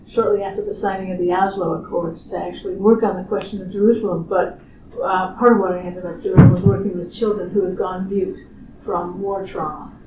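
Thoughtful older woman, distant roomy recording. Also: medium pitch. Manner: measured, thoughtful, with frequent pauses and fillers. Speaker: researcher. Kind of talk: interview answers. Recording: roomy, distant, muffled recording with clear background noise.

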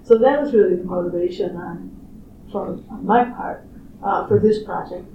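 Thoughtful older woman, distant roomy recording. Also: lowish voice. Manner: measured, thoughtful, with frequent pauses and fillers. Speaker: researcher. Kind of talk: interview answers. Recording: roomy, distant, muffled recording with clear background noise.

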